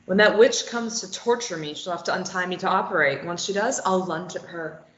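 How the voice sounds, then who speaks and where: A woman's voice: lowish voice, a little fast, a bit bright, lightly acted. Captive heroine, played by a woman: sarcastic, snappy, desperate, stubborn. Dull, echoing room recording. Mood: determined. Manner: snappy, argumentative. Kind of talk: script table read. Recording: roomy, dull lo-fi sound.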